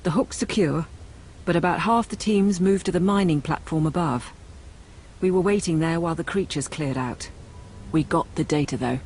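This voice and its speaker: An actress playing a pragmatic Imperial military officer in a space opera game, businesslike and dry. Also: female voice, fairly low pitch, moderate pace, darkish tone, slight background noise, close to an everyday voice, low-quality recording. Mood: respectful, businesslike. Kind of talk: video game cutscene acting.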